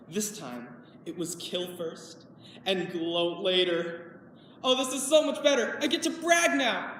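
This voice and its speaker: A woman's voice: medium pitch, slight background noise, natural voice. A woman voicing a theatrical villain who brags and cackles over a victory. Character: gloating triumphant stage villain. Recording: echoey hall, from far off.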